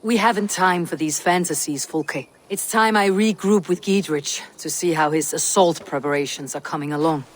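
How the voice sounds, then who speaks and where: A woman's voice: low voice, normal speed, hardly any pauses. An actress playing a proud, stubborn Viking warrior woman in a video game cutscene, speaking firmly and bluntly. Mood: skeptical.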